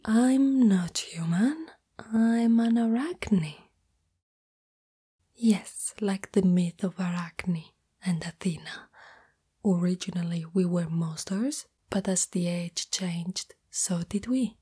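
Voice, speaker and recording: A woman plays a young spider-girl in love, shy and afraid of being rejected. Her voice is mostly whispered and very breathy, with a dark, mellow tone. She speaks slowly and hesitantly, as in an intimate ASMR girlfriend roleplay. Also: lowish voice, heavily acted. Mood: nervous, reluctant. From Italy.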